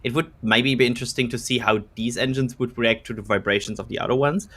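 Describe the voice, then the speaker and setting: A man's voice: medium pitch, steady tone, quick pace, fairly bright tone, husky. A man co-hosting a casual live commentary stream, speaking hesitantly and often correcting himself. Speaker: co-host.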